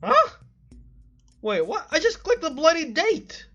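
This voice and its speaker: A woman's voice: very high voice, very animated, unhurried, a bit bright. A woman giving casual let's play commentary in an exasperated, sarcastic, sweary manner, on slightly muffled audio. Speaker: gaming video host.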